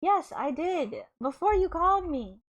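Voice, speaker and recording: A woman's voice: very high voice, sing-song, unhurried, voice pushed up, heavily acted. A woman voicing an exasperated, overworked young woman who scolds indignantly, as in a novel reading. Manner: shouty, indignant.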